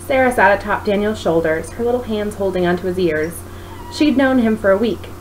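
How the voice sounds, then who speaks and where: A woman narrates a story expressively, like an author reading her own book. Her pitch sits in the middle for a woman. There is noise behind her in what sounds like a home recording. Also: brisk, poor audio.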